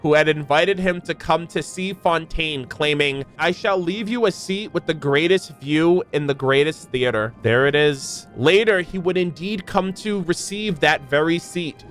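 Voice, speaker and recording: A man with a fairly high voice talks as an online reaction creator over background music. He moves between reading lore aloud and loose, rambling commentary, given to hyperbole and casual swearing. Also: a little slow, hardly any pauses, fairly bright tone.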